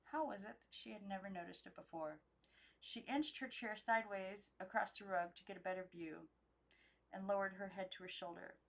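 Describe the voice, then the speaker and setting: A young woman reads a storybook aloud in an unedited, expressive narration with occasional stumbles. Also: mid-range voice, normal speed, gravelly, low-quality recording. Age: young adult. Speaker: amateur book reader.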